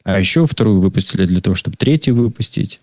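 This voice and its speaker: Casual male film-talk guest, lower-pitched, dry close sound, rambling and halting delivery. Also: animated, a little mellow, no echo. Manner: halting, rambling, repeats words. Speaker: film discussion participant. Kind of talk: casual conversational film review.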